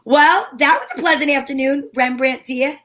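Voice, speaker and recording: A woman's voice: high voice, fairly even intonation, moderate pace, a bit bright. A woman playing a breezy female private investigator in an amateur reading of an old-time radio play.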